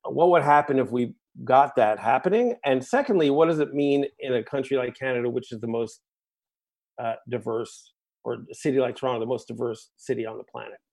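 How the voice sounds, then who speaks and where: A man from the United States, here as a guest musician in a relaxed interview. He speaks in long, winding, reflective thoughts and keeps checking in with his host through little asides like "right?" and "you know". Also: medium pitch, fairly quick, vocal fry.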